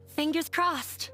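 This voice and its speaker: A woman voicing a kind, polite young theater member in an anime-style game. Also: high voice.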